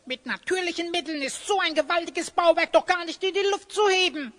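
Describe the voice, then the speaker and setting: A woman's voice: very high voice, fairly quick, fairly bright tone. A woman voicing a small, cautious, inquisitive sidekick creature in an audio drama, hesitant and a little anxious. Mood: curious.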